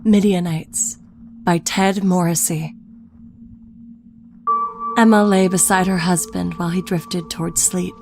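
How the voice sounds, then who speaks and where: Slow gravelly woman narrating horror. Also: fairly low pitch, fairly even intonation. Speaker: story narrator. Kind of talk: audiobook-style horror narration. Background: music score.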